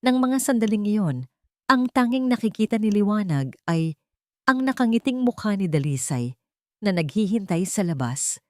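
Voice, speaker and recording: A woman with a Filipino accent narrating a romance drama in an emotive, expressive storytelling manner. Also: medium pitch, moderate pace, no room sound, studio quality.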